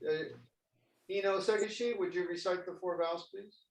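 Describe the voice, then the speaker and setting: A man leading a Zen ordination ceremony, speaking gently and formally with a few halting fillers. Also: sits high, steady tone. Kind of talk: ceremonial officiating speech. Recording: echoey and distant with faint noise.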